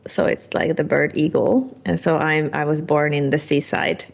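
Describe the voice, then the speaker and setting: A woman in her thirties from Finland, answering interview questions in a casual, halting way with many fillers. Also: low voice, gravelly, muffled recording. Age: 30s. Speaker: guest, partner sales director.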